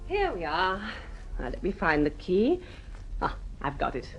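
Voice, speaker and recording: An actress with an English accent playing a poised, teasing woman in love in an old-fashioned radio drama, with a coquettish, brisk manner. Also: on the low side, huge pitch swings, lo-fi sound, soft background music, heavily acted. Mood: bright, flirtatious. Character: poised, teasing woman luring her adoring lover along.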